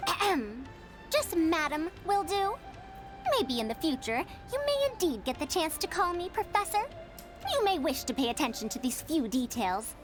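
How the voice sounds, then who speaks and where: Theatrical woman scholar, proud, patient teacher, lively swooping pitch, anime game style, music behind. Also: normal speed. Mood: instructive. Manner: lecturing, self-important, animated.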